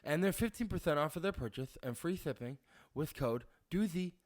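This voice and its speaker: A man's voice: on the high side, lively intonation, moderate pace. A young man co-hosting a comedy podcast, joking around and reading sponsor copy with a playful put-on lisp. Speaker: podcast co-host. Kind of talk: casual comedic chat.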